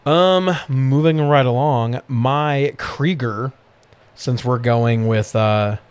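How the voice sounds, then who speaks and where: A man's voice: medium pitch, slow, clear, resonant tone. A man co-hosting a podcast, chatting casually about movies in slangy, profane language. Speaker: podcast host.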